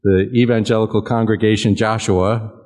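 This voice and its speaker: Deep-voiced American church host. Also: male voice, level tone, darkish tone. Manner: halting, many fillers. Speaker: church leader introducing the speaker. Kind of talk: casual announcements.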